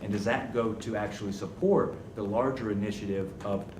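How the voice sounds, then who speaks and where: A man's voice: on the low side, fairly quick. A young man speaking on a conference panel in a confident, punchy, joking way. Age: young adult. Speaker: panelist, communications officer. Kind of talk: panel discussion talk. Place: conference room before a live audience. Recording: echoey room, distant mic, noisy.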